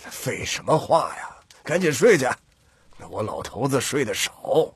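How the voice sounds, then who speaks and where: A man playing a thrifty, bossy old grandfather who heads a troupe, with a husky, drawling, lecturing delivery in an audiobook. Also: medium pitch, big pitch swings, measured pace, dry recording, heavily acted. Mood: gruff, caring. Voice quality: husky, raspy. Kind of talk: audiobook character acting.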